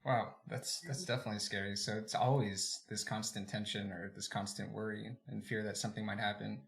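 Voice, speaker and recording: A man's voice: lowish voice, level tone, brisk, vocal fry, fairly bright tone. A man hosting a podcast and interviewing a guest, friendly and a little hesitant, often saying "um".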